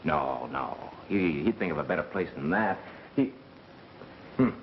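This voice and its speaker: Earnest man sleuth, inquisitive, fussy, animated pitch, noisy old film soundtrack. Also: measured pace, highs cut off, exaggerated voice. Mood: focused, brisk. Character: inquisitive, earnest sound-expert amateur sleuth, easily exasperated. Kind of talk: 1930s film acting.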